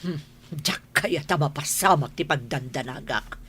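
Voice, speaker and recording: A woman's voice: low-pitched, unhurried, a little hiss or hum. A woman with a Filipino Ilocano accent playing a haughty, scolding, fretful mistress of the house in a comedy radio drama. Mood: worried, indignant. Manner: sharp, scolding, nagging.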